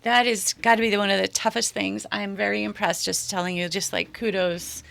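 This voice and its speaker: A woman's voice: medium pitch, flat delivery, a little fast, gravelly, fairly bright tone. A woman in her sixties with an American accent, hosting a conversational interview show in a studio. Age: 60s. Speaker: radio show host.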